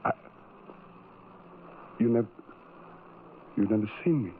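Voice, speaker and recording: An actor playing a sorrowful, self-doubting outcast in an old-time radio drama, halting and brooding with heavy pauses. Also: male voice, fairly low pitch. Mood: uncertain, anxious. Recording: tinny, noisy old radio recording.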